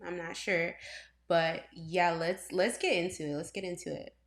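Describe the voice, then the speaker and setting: A young woman from Trinidad and Tobago hosting a reaction video, talking casually and rambling, full of fillers, restarts and quick laughs. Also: on the low side, fairly even intonation. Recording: roomy echo.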